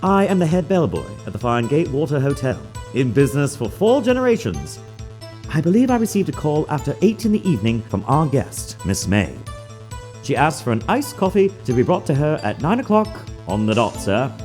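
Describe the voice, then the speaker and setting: A man's voice: on the high side, very animated, moderate pace, darkish tone. A man voicing a prim, obsequious head bellboy in a video game dub, overly formal and exact. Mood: proud, formal. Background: music.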